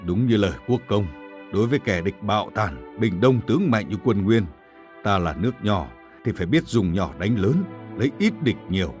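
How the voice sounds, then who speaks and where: A man plays a loyal, earnest nobleman of war in an animated history. The voice is low, formal and emphatic, with faint music behind. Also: normal speed, natural voice. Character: earnest, loyal military nobleman agreeing with his commander. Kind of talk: historical cartoon voice acting.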